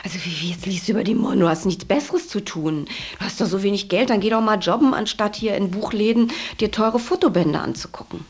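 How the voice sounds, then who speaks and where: A woman's voice: mid-range voice, fast, a little mellow, a little hiss or hum. A woman talking candidly and reflectively about herself in an interview, feeling her way through her thoughts. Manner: candid, searching, self-examining. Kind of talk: reflective personal interview talk.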